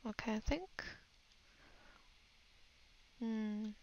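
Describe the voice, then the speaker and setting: A woman narrates a digital art tutorial in an unscripted voice-over. Her delivery is slow and hesitant, with a creaky, fried edge to the voice. She muses to herself as she works through each step. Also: a little high, darkish tone, dull audio. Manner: hesitant, musing, thinking aloud. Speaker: digital artist giving a tutorial. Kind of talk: unscripted voice-over of a painting process.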